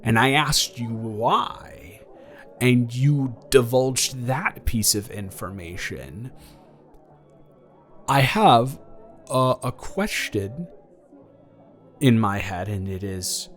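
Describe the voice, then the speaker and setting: Casting: male actor for young ex-boyfriend, romantic audio roleplay. Flustered, tender, halting stammer, unhurried rambling, music underneath. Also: mid-range voice, lively intonation. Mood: processing, curious. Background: background music.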